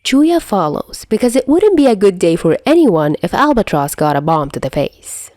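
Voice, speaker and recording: A woman's voice: mid-range voice, very animated, normal speed, a little mellow, dry recording, studio quality. A woman reading a scripted story as an amateur audiobook narrator. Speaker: amateur fan fiction narrator.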